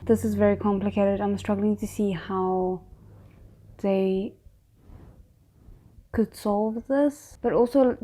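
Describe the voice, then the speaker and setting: A woman's voice: mid-range voice, warm tone. A woman giving casual reaction commentary as a host, musing aloud in hesitant fragments.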